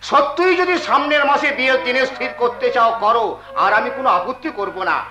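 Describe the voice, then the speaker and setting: A man's voice: very high voice, normal speed. A man playing a poor but proud father of a bride in Bengali folk theatre, declaiming with emphasis. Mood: proud, resolute. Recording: echoey stage sound with music.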